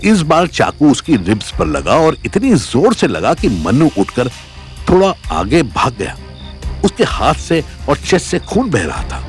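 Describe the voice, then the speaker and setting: A man's voice: mid-range voice, huge pitch swings, unhurried, faint music behind. A man narrating a dramatic audio story in a scripted storytelling style.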